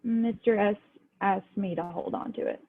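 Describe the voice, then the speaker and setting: A woman's voice: mid-range voice, steady tone, moderate pace, phone-quality sound, natural voice. A woman playing a guarded, streetwise gangster's employee in an amateur table read over a thin-sounding video call. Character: guarded, streetwise female singer working for gangster.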